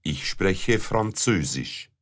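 A man reading German practice sentences and abbreviations slowly and evenly for learners. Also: sits low, lively intonation, a bit bright, breathy edge. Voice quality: plain, measured. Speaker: language course speaker. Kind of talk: slow scripted language drill.

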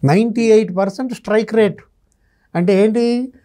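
A man's voice: high-pitched, normal speed, mellow. A man, giving conversational political commentary as a guest analyst, quoting others and posing rhetorical questions. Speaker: senior journalist, guest analyst. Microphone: lapel mic.

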